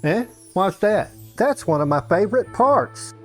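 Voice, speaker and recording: A man acts out an elderly priest in a comic fantasy storybook. He is bossy and unflappable, speaking in old-fashioned, matter-of-fact phrases. His pitch moves around a lot, with faint music underneath. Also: animated, unhurried. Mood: querulous.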